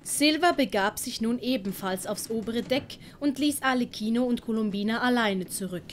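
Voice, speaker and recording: A woman's voice: fairly high pitch, normal speed, slight background noise. A woman narrating an audio drama in scripted storytelling style.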